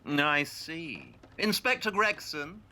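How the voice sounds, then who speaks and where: Casting: male actor as clever, superior Victorian detective in a radio play, very bright incisive voice, swooping intonation. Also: on the high side, sing-song, slow, slight background noise, exaggerated voice. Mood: assured. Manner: clipped, superior, deductive.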